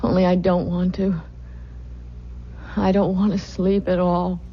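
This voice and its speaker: Casting: fragile, fearful young wife, vintage radio play; unhurried, hesitant, soft; dull recording with faint hiss. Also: female voice, on the low side, little pitch movement. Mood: weary, guarded. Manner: hesitant, guarded, soft-spoken. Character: young pregnant wife, tender, weary, secretly fearful. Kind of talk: old-time radio drama acting.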